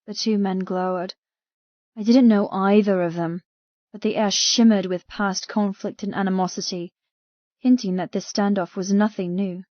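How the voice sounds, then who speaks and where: A woman's voice: medium pitch, steady tone, moderate pace, dry recording, highs cut off. A woman narrating a dramatic first-person novel as a frightened captive. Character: frightened captive young woman telling her story. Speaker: audiobook narrator.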